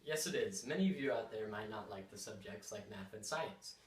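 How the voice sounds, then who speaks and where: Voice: medium pitch, expressive, brisk, a little hiss or hum. Student reporter in a fake news skit, echoey, kinda far from the mic. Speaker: field reporter in a school skit.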